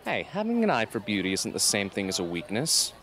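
A man playing an earnest, gently teasing teenage boy in an amateur radio drama. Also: lowish voice, wildly swooping pitch, a little fast, heavily acted.

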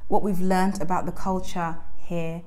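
A young woman hosting a casual chat in a bright living room, talking into a lapel mic and laughing easily. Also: on the low side, level tone, a little slow. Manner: chatty, laughs often. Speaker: host.

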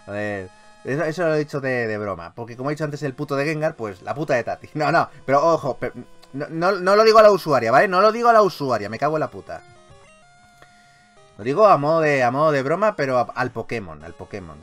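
A man with an accent from Spain giving casual, excitable gaming commentary, repeating his phrases and swearing freely. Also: mid-range voice, fast talker. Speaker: gaming YouTuber, host. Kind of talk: casual, excitable Pokémon let's play commentary. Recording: game music behind voice. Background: video game music.

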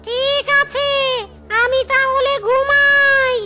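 High, whiny cartoon ghost. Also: female voice, level tone, very slow, sharp tone, heavily acted. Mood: challenging. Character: gruff, easily cowed garden guard ghost. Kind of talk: children's cartoon dubbing.

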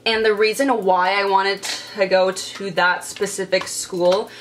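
A woman's voice: medium pitch, level tone, measured pace, soft background music. A young woman vlogger chatting casually while doing her makeup in her bedroom, stuttering with repeated sounds and blocks. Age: young adult. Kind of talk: casual get-ready-with-me chat. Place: bedroom, indoors.

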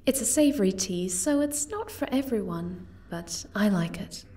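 A woman voicing a gentle, timid, caring young moth girl in an ASMR roleplay, soft-spoken and hesitant. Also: mid-range voice, lively intonation, moderate pace, lightly acted. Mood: shy, tender.

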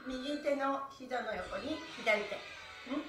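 A woman's voice: sits high, takes it slowly, over music. A middle-aged woman leading a stretching class, cheerfully chanting counts and instructions from a few meters away in a small indoor room. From Japan. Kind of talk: live-streamed exercise class. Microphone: phone camera a few meters away. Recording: echoey room from a distance.

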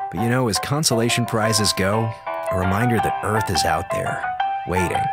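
An actor playing a wisecracking slacker communications officer on a space station in a radio drama, casual, sarcastic and theatrical. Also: male voice, sits low, close to an everyday voice. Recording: music playing behind voice.

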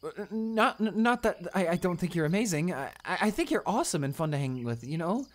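A man's voice: sits high, big pitch swings, a little fast. A man playing a shy, kind-hearted male student in a romantic audio roleplay, hesitant, stammering and apologetic. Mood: nervous, earnest.

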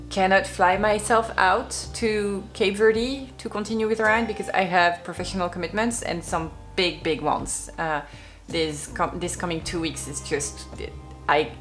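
A woman in her thirties, sitting at a desk and talking calmly and thoughtfully to camera in a casual vlog. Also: on the low side. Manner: calm, thoughtful, measured. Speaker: vlogger, partner of the sailor. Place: indoor room at a desk.

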